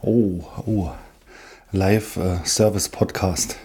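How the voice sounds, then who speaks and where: A man's voice: sits low, fairly even intonation, drawn-out words, warm tone, slightly rough. A man co-hosting a casual podcast, trading jokes and starting his sentences with hesitant sounds. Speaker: podcast co-host.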